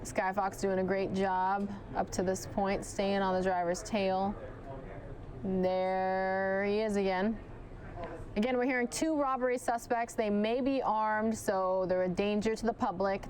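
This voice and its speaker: A woman news anchor giving live commentary on a police chase, chatting directly with viewers, her voice starting to go hoarse. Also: medium pitch, little pitch movement, measured pace, a little mellow, a little hiss or hum. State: losing her voice.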